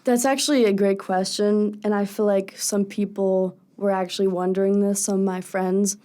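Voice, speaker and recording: A young woman with a low, raspy voice, a singer being interviewed on a radio show and speaking earnestly and candidly at length. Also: level tone, normal speed, warm tone. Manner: earnest, candid, talks in long thoughtful runs. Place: radio studio.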